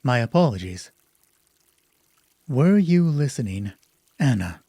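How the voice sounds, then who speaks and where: A polite, measured doctor doing marriage counseling in an audio drama, played by a man. He probes calmly and placates, with a husky, unhurried voice in a dry recording. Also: medium pitch, dry recording, full character voice. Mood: placating.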